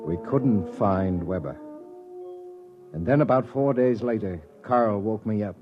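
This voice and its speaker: A man's voice: fairly low pitch, measured pace, lightly acted. A man playing a spaceship crewman who recounts a doomed expedition in 1950s radio drama style. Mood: somber recounting. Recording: dull vintage radio recording, music behind.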